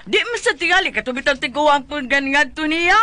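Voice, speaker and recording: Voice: high voice, lively intonation, a little fast, sharp tone. A co-host with a Cebuano accent, chatting casually and chiming in during radio banter. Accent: Cebuano (Bisaya).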